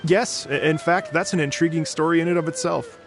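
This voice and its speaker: Man playing a gravelly, weary bard. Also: a little high, steady tone, music in the background, lightly acted. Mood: eager. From Fontaine. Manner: measured, earnest storytelling. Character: weary middle-aged male bard, earnest storyteller. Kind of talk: video game dialogue.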